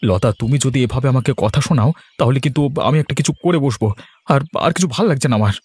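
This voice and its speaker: A man's voice: mid-range voice, lively intonation, fast talker, a little mellow, breathy edge, no room sound. A man voicing a harried poor farmer husband in a cartoon, self-pitying and exasperated. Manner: complaining, exasperated. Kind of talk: animated cartoon voice acting. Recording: faint music behind the voice.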